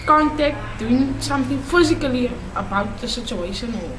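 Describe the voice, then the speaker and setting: A woman's voice: fairly high pitch, expressive, normal speed. An eighteen-year-old woman, speaking tentatively and trailing off. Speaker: youth camp participant. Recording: echoey room with background noise.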